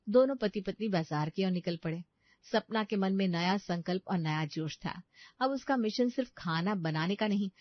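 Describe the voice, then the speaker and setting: A woman's voice: on the low side, no room sound, lo-fi sound. A woman reading scripted bedtime story narration.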